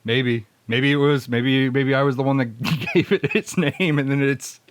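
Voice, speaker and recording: A man's voice: mid-range voice, measured pace, slight background noise. A man co-hosting a podcast with casual, joking, sarcastic banter. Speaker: podcast co-host and producer.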